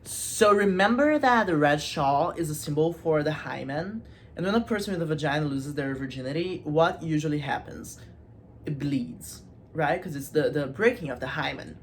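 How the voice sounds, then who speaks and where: Low-voiced woman, chatty and playful. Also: slight background noise. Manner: informal, playful, with asides to the viewer. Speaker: host of a literature video series. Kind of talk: casual, chatty literary analysis.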